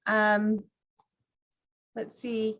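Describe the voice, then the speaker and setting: A woman leads an informal walkthrough of a computer program. She speaks at an average pitch in a conversational, explanatory way. She takes her listeners through it step by step, as a class presenter does. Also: completely flat delivery, drawn-out words, a bit bright.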